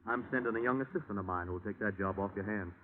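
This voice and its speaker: Man playing a low-voiced, earnest chaplain. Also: fast talker, lightly acted. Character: earnest, persuasive male chaplain arranging a mission. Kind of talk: 1940s radio acting. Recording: tinny, hissy 1940s radio recording.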